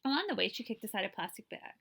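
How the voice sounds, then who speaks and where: A woman librarian reading a picture book aloud to children, with expressive storytelling and explanatory asides and questions for her listeners. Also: medium pitch, gravelly, slightly rough. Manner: expressive storytelling, pausing to check understanding with listeners.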